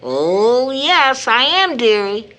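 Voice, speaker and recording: A woman playing a weary, loving mother of sixty in an audio drama, speaking gently and reflectively. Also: on the high side, big pitch swings, takes it slowly, full character voice. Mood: wistful, worried.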